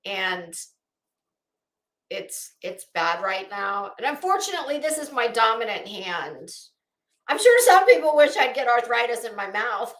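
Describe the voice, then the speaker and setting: A middle-aged woman hosting a casual livestream, chatting to viewers in a rambling, expressive way with self-deprecating asides. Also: a little high, measured pace. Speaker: livestream host. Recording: roomy, echoey indoor sound.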